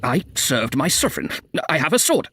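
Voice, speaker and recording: A man's voice: medium pitch, sing-song, normal speed, bright, forceful tone, husky, exaggerated voice. A man voicing a pompous, indignant old army general with a firm manner in a story. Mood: offended, proud.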